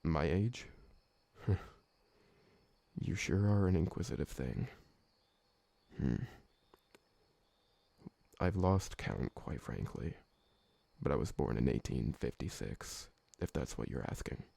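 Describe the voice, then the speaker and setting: A man playing a gentle, awkward, polite old vampire servant who talks hesitantly and deferentially in an ASMR roleplay. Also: very deep voice, lively intonation, slow, creaky, pauses often, no room sound, full character voice. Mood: friendly, reflective. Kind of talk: ASMR roleplay audio drama.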